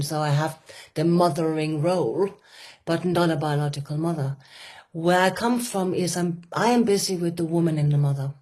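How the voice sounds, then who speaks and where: A woman with a Danish accent speaking earnestly and with passion, pausing often with fillers and restarting her sentences. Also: deep voice, darkish tone. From Denmark. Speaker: women's work facilitator. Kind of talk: reflective conversation.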